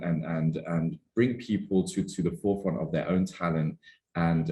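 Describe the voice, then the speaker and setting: Deep-voiced halting male interviewer. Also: a little mellow, reverberant. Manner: halting, repeats words and restarts often. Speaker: interviewer, host. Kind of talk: interview over video call. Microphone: video call.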